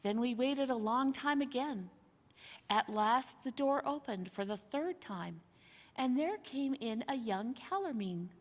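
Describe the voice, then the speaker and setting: A woman reading a storybook aloud in an expressive, measured storytelling manner. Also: a little high. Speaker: reader. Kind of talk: storybook narration, read aloud. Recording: long hall-like reverb.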